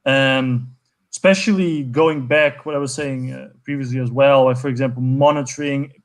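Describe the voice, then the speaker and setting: A man's voice: mid-range voice, fairly even intonation, moderate pace. A man in his twenties from Portugal, a guest speaking enthusiastically over a video call with many fillers and restarts. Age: 20s. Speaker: guest, network co-founder. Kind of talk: conversational interview answer.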